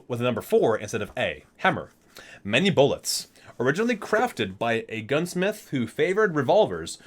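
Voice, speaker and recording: Animated man in an ASMR reading. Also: mid-range voice. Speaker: video host reading aloud from a book. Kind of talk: ASMR reading with casual asides.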